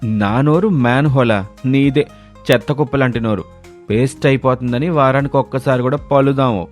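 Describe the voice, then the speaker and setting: A man's voice: mid-range voice, moderate pace, soft background music, natural voice. A man playing a teasing, suspicious young village husband in a comic story. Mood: mocking.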